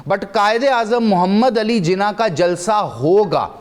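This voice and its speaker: A man's voice: high-pitched, measured pace. A man with a Pakistani accent giving impassioned, emphatic religious and historical commentary as a talk show guest, building his points through repetition.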